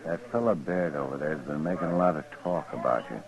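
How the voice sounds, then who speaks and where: A man's voice: very deep voice, brisk, crowd noise, rough recording. An actor playing a friendly, concerned American saloon barman in 1950s radio western style.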